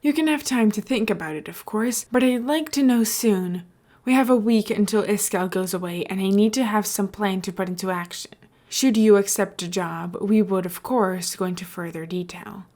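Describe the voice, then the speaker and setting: A woman reading the part of a calculating male mayor and would-be villain in a story, persuasive, measured and businesslike. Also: mid-range voice, a little mellow, lightly acted.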